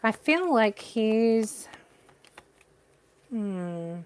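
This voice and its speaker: A woman giving a live tarot reading with a soothing, gentle voice, talking straight to her viewers. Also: fairly high pitch, lively intonation, drawn-out words, clear, resonant tone. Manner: gentle, reassuring, addresses viewers by name. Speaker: tarot reader.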